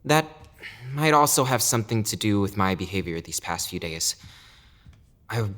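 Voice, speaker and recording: A man playing an awkward, apologetic attendant to a noble in an audio drama, speaking haltingly. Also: fairly low pitch, lively intonation, fairly bright tone. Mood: apologetic, hesitant.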